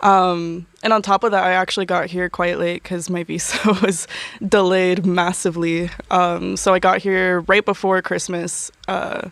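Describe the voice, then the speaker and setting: A woman's voice: on the low side, level tone, normal speed, creaky, dry recording. A young woman, a university student, chatting casually as an interview guest, full of fillers and easy laughter. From United States.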